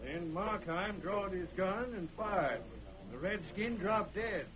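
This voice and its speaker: A man's voice: high-pitched, slow pace, crowd noise. An actor playing a shifty, rustic Old West lawman with folksy talk in an old-time radio western. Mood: evasive. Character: shifty, drawling crooked Old West sheriff and cronies. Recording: noisy old radio recording.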